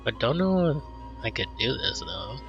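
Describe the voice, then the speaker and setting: A man's voice: sits high, huge pitch swings, normal speed. Casual male gamer, dull lo-fi sound. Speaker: gamer, let's player. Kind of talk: casual gameplay commentary, reading dialogue choices. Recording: dull lo-fi mic, faint game music.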